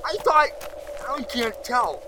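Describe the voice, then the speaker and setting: Man acting bumbling young slacker, high and very lively voice, stammering slang, music underneath. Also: slow, a little hiss or hum, heavily acted, rough recording. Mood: defensive. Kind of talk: comedic audio drama acting.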